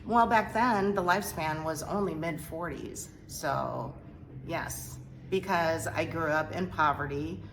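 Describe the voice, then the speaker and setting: Low, slow, gravelly-voiced woman. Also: slight background noise, rough recording. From South Korea. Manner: hesitant, halting, soft-spoken with many fillers. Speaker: interview guest. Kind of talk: personal testimony interview.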